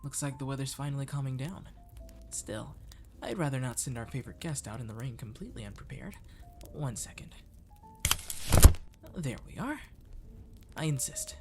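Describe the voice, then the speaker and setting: A man as a sweet, animated catboy waiter. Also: medium pitch, close to an everyday voice. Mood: gentle, attentive. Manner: courtly, coaxing, gently playful. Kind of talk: flirty comfort ASMR roleplay.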